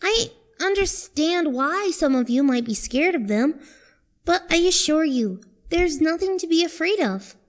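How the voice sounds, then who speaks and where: A woman's voice: extremely high pitch, lively intonation, a little slow. A woman voicing a kind human child who narrates politely and sincerely in a cartoon fandub. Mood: earnest, friendly. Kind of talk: first-person fandub narration.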